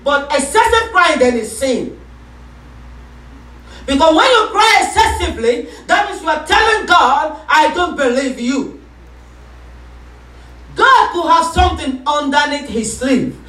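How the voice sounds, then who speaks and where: A woman pastor preaching a sermon, calling for responses and rising into shouts. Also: high voice, lively intonation, unhurried, long pauses, recorded from a distance. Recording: echoey room, voice from off-mic.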